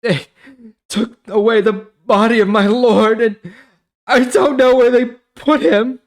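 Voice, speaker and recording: A man's voice: extremely high pitch, fairly even intonation, a little slow, pitched above the performer's own voice. A man voicing a distraught, urgent grieving woman in a dramatized scripture story, with emotional, emphatic delivery. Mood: tearful pleading.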